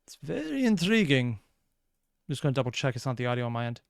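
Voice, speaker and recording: A man's voice: mid-range voice, big pitch swings, fairly quick, creaky, smooth, no echo. A man hosting a reaction video, commenting casually in a rambling, analytical way.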